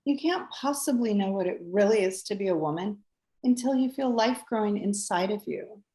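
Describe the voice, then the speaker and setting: A woman's voice: medium pitch, animated, moderate pace, mellow, natural voice. A woman playing a gushing, meddling pregnant best friend with a teasing, theatrical manner in a screenplay table read. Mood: teasing, pointed. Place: remote video call.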